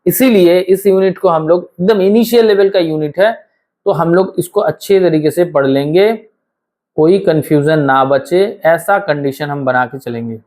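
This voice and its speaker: Guy teaching online, throat scratchy and sore, kinda high voice, slow and patient, repeats stuff. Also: a little mellow. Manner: explains patiently, repeats key phrases slowly, addresses students directly. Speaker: online exam coaching teacher. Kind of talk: online lecture with course promotion.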